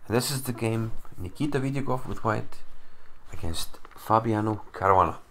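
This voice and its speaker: A man's voice: lowish voice, normal speed, a bit bright, slight background noise. A man with an Icelandic accent casually analyzing a chess game from home, chatty and self-deprecating as he thinks aloud. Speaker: chess commentator. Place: home room. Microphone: computer mic, no camera.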